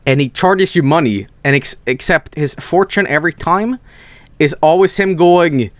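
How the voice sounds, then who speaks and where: A man's voice: a little high, moderate pace, no room sound. A young man from Sweden, telling rambling stories on a casual stream, swearing freely and restarting his sentences.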